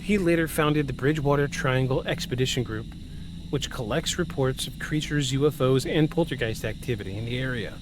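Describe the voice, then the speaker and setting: A man's voice: mid-range voice, a little fast, background noise. A man in his forties hosting a podcast, moving between scripted narration and joking, self-deprecating casual chat. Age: 40s. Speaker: podcast host.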